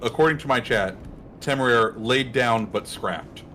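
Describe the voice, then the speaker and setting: A man's voice: mid-range voice, unhurried, pushed and bright, slight background noise. A man hosting a game livestream, commenting in a laid-back, wry way. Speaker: streamer host. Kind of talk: casual gameplay commentary.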